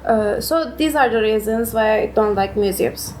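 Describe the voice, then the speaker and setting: A teenage girl from Turkey speaks in a fairly high voice. She hesitates often, dropping in ums. Faint hiss sits behind her. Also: normal speed, a little mellow. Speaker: student. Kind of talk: podcast discussion.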